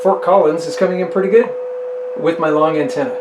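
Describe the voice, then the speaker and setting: A man's voice: on the high side, fairly even intonation, brisk, echoey room, very noisy. A man hosting a hobby video, thinking aloud as he gives a casual hands-on demonstration to the viewer. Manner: thinks aloud while tinkering. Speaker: host of a radio hobby video.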